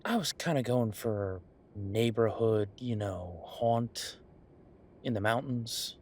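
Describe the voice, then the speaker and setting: A man playing an easygoing, devoted boyfriend who is a small-town chef, talking casually and haltingly in an intimate, reassuring roleplay audio. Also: on the low side, a little mellow. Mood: earnest, reassuring. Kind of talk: intimate conversational roleplay acting.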